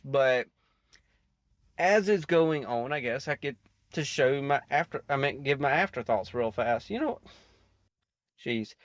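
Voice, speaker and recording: Rambling man, higher voice, dry close sound. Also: dry recording. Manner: rambling, self-interrupting. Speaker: reaction video host. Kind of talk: casual off-the-cuff commentary.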